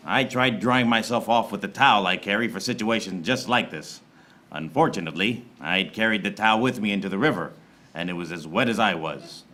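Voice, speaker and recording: A man's voice: on the low side, a little fast. A man playing a wry, weary hard-boiled detective, narrating a film noir radio comedy on stage. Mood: exasperated. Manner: deadpan wordplay, theatrical. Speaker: lead actor in live comedy radio serial. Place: small theater stage, live audience. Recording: noisy live stage recording with clicks.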